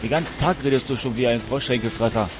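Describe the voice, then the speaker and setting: A man's voice: mid-range voice, fast talker, music in the background, close to an everyday voice. A man playing a grumpy, irritable husband on holiday. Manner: irritable, grumbling.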